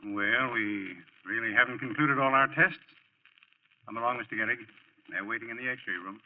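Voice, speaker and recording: On a muffled vintage radio recording, a man plays a grave and discreet physician. His voice sits at medium pitch, formal and measured in the style of 1940s radio acting. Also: natural voice. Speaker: actor in a radio drama.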